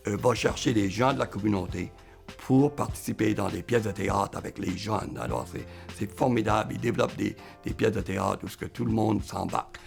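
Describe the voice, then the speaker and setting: A man's voice: fairly low pitch, expressive, fairly quick, vocal fry, faint music behind. A man, speaking in a documentary interview about schools, hesitant with many fillers.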